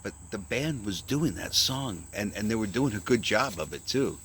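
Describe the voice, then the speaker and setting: A man rambling through a deadpan comic story in a halting, meandering way. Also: lowish voice, a little hiss or hum. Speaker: vlogger.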